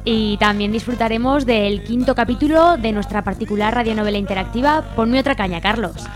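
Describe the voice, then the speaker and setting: Higher-voiced Spanish woman on radio over music. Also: a little fast. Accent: Spanish, from Spain. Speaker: radio co-host. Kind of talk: scripted radio presenting and reading. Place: radio studio. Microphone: studio microphone.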